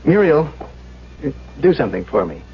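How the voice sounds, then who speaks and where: An actor playing an anxious, desperate young engineer in a 1970s radio drama, halting and pleading as he speaks. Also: on the high side, sing-song. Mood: desperate, insistent. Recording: dull, noisy old radio recording.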